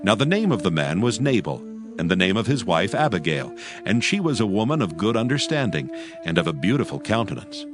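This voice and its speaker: A man's voice: on the low side, very animated, fairly quick, music in the background. A man narrating dramatized scripture as a solemn storyteller.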